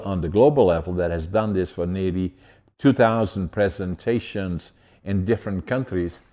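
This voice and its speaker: A podcast host, a man, speaks in a fairly low register. His tone is soft and mellow, and he builds long, winding questions in a relaxed conversational style. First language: Dutch. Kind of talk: conversational interview.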